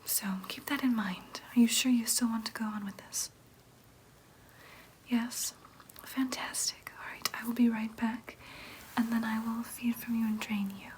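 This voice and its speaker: A woman's voice: on the high side, level tone, unhurried, darkish tone, a little hiss or hum, natural voice. Woman whispering as a soothing vampire nurse. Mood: calm, reassuring. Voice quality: breathy whisper. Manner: soothing, clinical, hesitant asides. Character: gentle, businesslike female vampire nurse tending a patient. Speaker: ASMR roleplay performer. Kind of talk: whispered ASMR roleplay.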